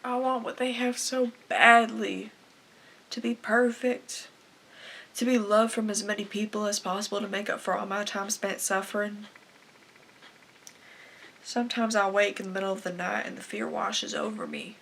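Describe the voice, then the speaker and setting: A woman's voice: mid-range voice, expressive, moderate pace, vocal fry, a little hiss or hum. A teenage girl playing a desperate, bitter young farm wife in a confessional dramatic monologue. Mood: desperate, self-loathing. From Canada. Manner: confessional, anguished, swinging from hushed to bursting. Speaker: aspiring actress performing a film monologue.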